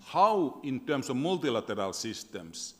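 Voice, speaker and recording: A man's voice: medium pitch, big pitch swings, a little slow, a bit bright. A man, a parliamentarian, asking an earnest, wry question from the floor of a panel. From Finland. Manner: earnest, repeats words, wry humor. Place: large conference hall. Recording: reverberant conference hall.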